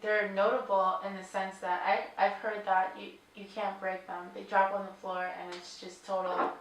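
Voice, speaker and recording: A woman's voice: medium pitch, flat delivery, moderate pace, a little hiss or hum. A woman from New York with a New York accent, interviewing someone in a showroom in a casual, hesitant, chatty way. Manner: chatty, hesitant, laughs easily. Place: glassworks showroom. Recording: echoey room, voice a bit far from mic.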